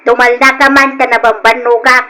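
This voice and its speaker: A woman's voice: on the high side, flat delivery, brisk, bright, forceful tone. An actress playing a stubborn, demanding older mother-in-law in a radio drama, brash and insistent, with a Filipino accent. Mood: stubborn, dismissive. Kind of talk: Ilocano radio drama acting.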